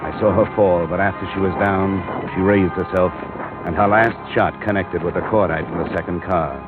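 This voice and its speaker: A man playing a terse, world-weary detective in a 1950s radio drama, with a clipped, deadpan delivery. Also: low voice, normal speed, lightly acted. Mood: grim, tense. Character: hard-boiled male detective, terse, world-weary, steady. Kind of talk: 1950s radio drama acting, first-person narration. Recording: muffled vintage radio recording, music behind.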